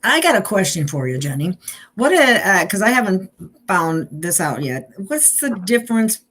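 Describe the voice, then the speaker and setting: A woman interviewer chatting casually, often halting between phrases with pauses and ums. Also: mid-range voice, very animated, a bit bright. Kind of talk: casual conversation.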